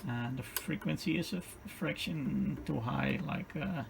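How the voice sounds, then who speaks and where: A man giving an unscripted, hands-on technical demonstration as a tutorial host, explaining in halting pieces and often repeating his phrases. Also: fairly high pitch. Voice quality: creaky, gravelly voice. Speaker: radio repair tutorial host. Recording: clearly noisy home-made video sound.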